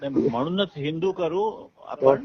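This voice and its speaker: A man's voice: on the high side, measured pace. A man talks informally on the phone, giving instructions in short, directive turns. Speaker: political party aide. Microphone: phone call. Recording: muffled recorded phone call.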